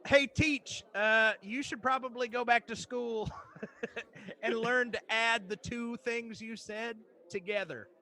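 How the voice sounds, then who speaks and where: A man's voice: extremely high pitch, steady tone, slow pace, sharp tone, rough recording. A man playing the class bully in an improvised comedy podcast, with a smug, teasing delivery.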